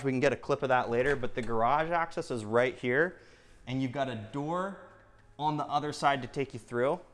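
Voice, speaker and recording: A man in his thirties giving an upbeat real estate walkthrough of a house, listing features in quick bursts as he walks through the rooms. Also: medium pitch. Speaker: real estate agent giving a home tour. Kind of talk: enthusiastic walkthrough tour. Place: inside and around a single-story house.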